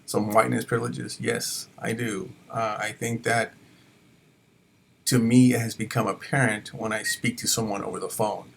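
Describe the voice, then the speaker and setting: A man's voice: fairly low pitch, little pitch movement, moderate pace, gravelly, breathy edge. A college faculty man reflecting on his teaching in a hesitant way, with many fillers and restarts. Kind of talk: reflective personal talk.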